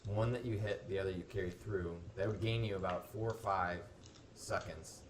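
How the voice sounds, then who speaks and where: A man's voice: low voice, steady tone, normal speed, a little hiss or hum. A man explaining game strategy as he plays, thoughtful and hesitant, full of fillers. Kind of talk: analytical game commentary. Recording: roomy, distant mic with clear music.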